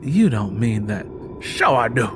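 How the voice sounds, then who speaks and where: A reckless young man full of drink and defiance, acted out by a male narrator for an audio drama. He talks big and brash, at a middling male pitch. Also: sing-song, measured pace, a little hiss or hum. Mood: defiant, boastful. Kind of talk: audio drama character acting.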